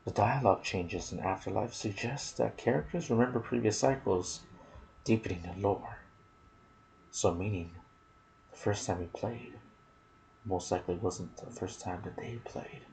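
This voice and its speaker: Man, ASMR game narration, hushed and breathy, gravelly fry, musing tone, noisy background. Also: fairly low pitch, moderate pace. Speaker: gaming video narrator. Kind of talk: ASMR game lore narration with casual asides.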